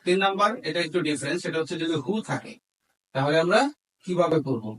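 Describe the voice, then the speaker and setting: A man with a Bangladeshi accent and a slightly high voice gives an instructional grammar lesson. He explains step by step, dictating in small pieces and switching between Bengali and English terms. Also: fast talker, poor audio.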